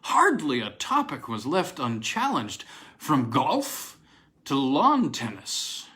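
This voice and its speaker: A man narrates a literary tale aloud. His medium-pitched voice is bright and forceful, with animated rises and falls that give the reading an expressive storytelling feel. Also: takes it slowly. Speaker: narrator, reader.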